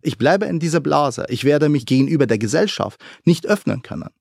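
A man's voice: fairly high pitch, animated, a little fast, vocal fry, darkish tone, no room sound, clean studio sound. A young man from Iraq with Arabic as his first language, talking earnestly and animatedly as an interview guest, with many fillers. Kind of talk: conversational interview.

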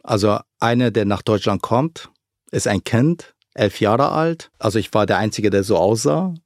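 A man's voice: fairly low pitch, animated, moderate pace, dry recording, studio quality. A young man from Iraq with Arabic as his first language, talking earnestly and animatedly as an interview guest, with many fillers. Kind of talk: conversational interview.

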